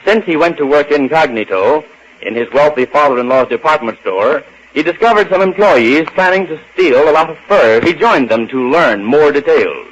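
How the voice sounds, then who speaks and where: A man's voice: a little high, expressive, normal speed, husky. A man announcing an old-time radio serial in scripted narration. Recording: muffled old radio recording.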